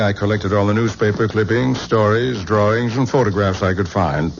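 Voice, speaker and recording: A measured museum curator on old radio. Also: male voice, on the low side. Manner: measured, explanatory. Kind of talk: 1970s radio drama acting. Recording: dull lo-fi radio broadcast with faint hiss.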